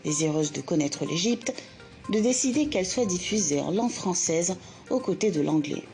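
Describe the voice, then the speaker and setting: A woman's voice: on the low side, lively intonation, normal speed, warm tone, faint music behind. A woman reading scripted narration for a TV documentary report.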